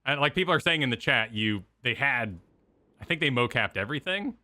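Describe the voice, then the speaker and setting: Bright, animated man, dry humor. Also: mid-range voice, a little fast, dry recording. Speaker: streamer co-host explaining game cutscenes. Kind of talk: casual chat commentary. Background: faint game music.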